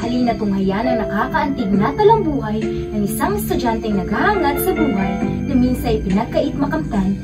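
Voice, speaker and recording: A woman's voice: a little high, very animated, brisk. A woman with a Filipino accent playing a dramatic radio DJ who presents listeners' sad stories in scripted style. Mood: solemn, theatrical. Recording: distant mic under loud music.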